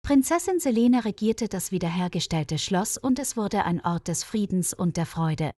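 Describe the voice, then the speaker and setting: A woman's voice: medium pitch, expressive, normal speed, mellow, no echo, studio quality. A woman storyteller reading a scripted fairy tale as narration.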